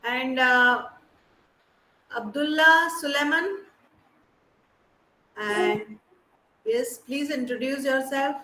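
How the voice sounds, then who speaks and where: Bright high-voiced woman counselor. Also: extremely slow, pauses often, echoey room, rough recording. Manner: calls on students one by one, hesitant fillers. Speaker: academic counselor. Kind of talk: leading an online class introduction. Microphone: video call.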